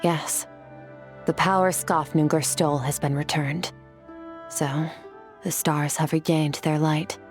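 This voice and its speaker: An actress playing a cool, ageless woman warrior, calm, detached and philosophical. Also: slow pace, long pauses, soft background music. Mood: contemplative. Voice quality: husky, low and composed. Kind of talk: video game voice acting.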